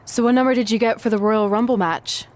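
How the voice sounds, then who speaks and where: A woman's voice: fairly high pitch, fairly even intonation, fast talker, close to an everyday voice. A woman playing a confident, supportive young wrestler in video game cutscene dialogue.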